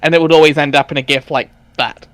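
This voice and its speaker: A man's voice: a little high, fairly even intonation, quick pace, a bit bright, no room sound. A man chatting casually as he explains background details to a group, often hesitant and searching for words. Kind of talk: casual chat, commentary.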